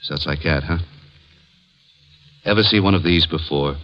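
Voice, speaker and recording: An actor playing a thick-headed gangster with a gun in a 1950s radio drama, talking tough street slang. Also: male voice, extremely low pitch, moderate pace. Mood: menacing. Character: menacing male gangster with a gun, dim. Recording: dull old radio broadcast.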